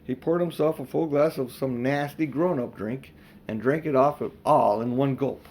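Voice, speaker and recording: An older man reading a children's storybook aloud as expressive narration. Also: medium pitch, normal speed, a little hiss or hum, rough recording. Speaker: audiobook reader.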